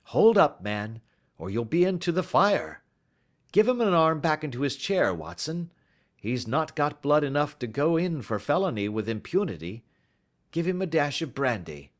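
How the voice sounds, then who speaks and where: A man voicing a brisk, masterful gentleman detective in an audiobook, speaking with formal precision and dry mockery. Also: fairly high pitch, very animated, voice pushed up. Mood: cool, accusing. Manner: commanding, precise, dryly mocking, formal. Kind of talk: audiobook character voice.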